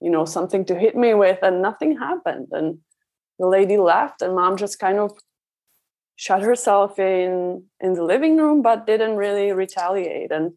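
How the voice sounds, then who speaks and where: A woman's voice: mid-range voice, normal speed, a little mellow. A woman from the Czech Republic speaking as an interview guest, telling personal stories in a conversational way. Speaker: parenting coach, interview guest.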